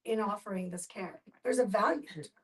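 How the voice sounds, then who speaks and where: A woman's voice: medium pitch, brisk. A woman speaking earnestly as a participant in a group discussion. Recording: distant mic in a room.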